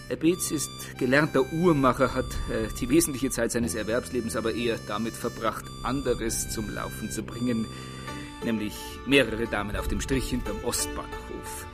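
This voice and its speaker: A male actor plays a police chief in a radio drama, speaking in a middle-pitched voice with a tinge. He is formal and officious, with dry sarcasm. Music runs underneath. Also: lightly acted. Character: brisk, dryly sarcastic male chief of detectives.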